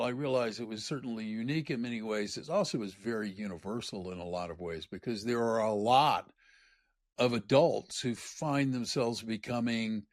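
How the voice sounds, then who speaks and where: A man co-hosting a podcast, telling stories in an animated way with big jumps in pitch. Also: no echo, clean studio sound. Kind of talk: casual interview chat.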